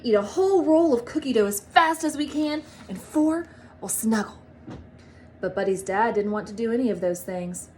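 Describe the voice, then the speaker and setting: A woman reading a children's storybook aloud in an expressive, emphatic manner. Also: fairly high pitch, slight background noise. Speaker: storybook reader, teacher.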